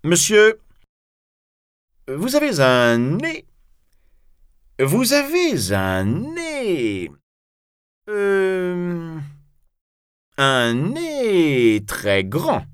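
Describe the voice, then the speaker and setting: A man's voice: high voice, huge pitch swings, clear, resonant tone, no room sound, studio quality, pitched above the performer's own voice, heavily acted. A man voicing an aggressive, dim, hot-headed nobleman in a combative storybook manner. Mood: clumsy provocation. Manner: halting, combative.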